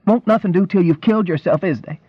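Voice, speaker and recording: A man's voice: sits high, quick pace, husky, pitched above the performer's own voice. A man voicing a small, angry, worried country wife who scolds in an exasperated rustic dialect. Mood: angry scolding. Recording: roomy, dull lo-fi reading.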